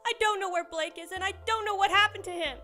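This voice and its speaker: A woman's voice: very high-pitched, fairly even intonation, fast talker, sharp tone. A woman playing a panicky young art student in an audio drama. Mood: panicked. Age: young adult. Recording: music clearly behind the voice.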